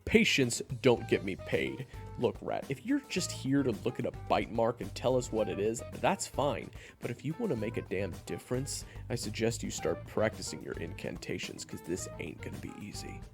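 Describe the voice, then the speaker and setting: A man acts out a brash monster hunter from a fantasy audio roleplay. He is impatient and sarcastic, with a gravelly, lively delivery. Underneath the abrasive bluster he is grudgingly protective. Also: lowish voice, moderate pace, hardly any pauses, over music, voice pushed up. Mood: impatient, goading. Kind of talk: audio roleplay acting addressed to the listener.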